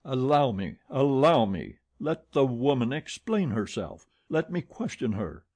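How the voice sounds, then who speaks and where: A man's voice: mid-range voice, very animated, a little slow, mellow, husky. A man voicing an authoritative theatre manager who speaks firmly and with dry irony, in a storybook reading. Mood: commanding. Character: authoritative male manager, calm and ironic.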